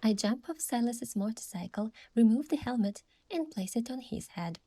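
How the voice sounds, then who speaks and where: A woman playing a gentle, thoughtful young heroine, narrating her game story softly and in measured scripted first person. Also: fairly high pitch, animated, a little hiss or hum. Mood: reflective.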